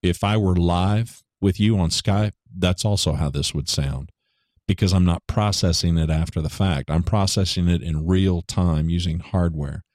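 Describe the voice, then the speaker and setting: A man with a baritone voice talking casually about studio gear, joking and correcting himself, into a studio microphone in a home studio. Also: fairly even intonation, moderate pace, a little mellow, no room sound. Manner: joking, rambling, self-correcting. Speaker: podcaster explaining studio gear. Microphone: studio microphone through hardware processor.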